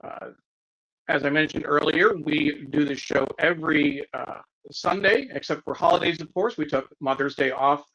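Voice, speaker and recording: A man co-hosting a livestream, making announcements and greeting viewers in a casual, halting way. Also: fairly high pitch, fairly bright tone. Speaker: co-host of a news discussion livestream. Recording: dull, lo-fi livestream audio.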